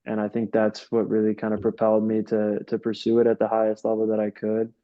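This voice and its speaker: A man's voice: lowish voice, completely flat delivery, brisk, highs cut off. A young man from upstate New York, a soccer coach, answering interview questions in a casual, rambling way full of fillers and restarts. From Rochester, New York.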